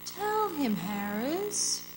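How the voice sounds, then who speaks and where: A woman playing the calm, solemn ghost of a murder victim in a radio drama. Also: sits high, drawn-out words, heavily acted. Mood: grave. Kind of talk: old-time radio drama acting on stage. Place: performing arts center hall. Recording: faintly noisy stage recording.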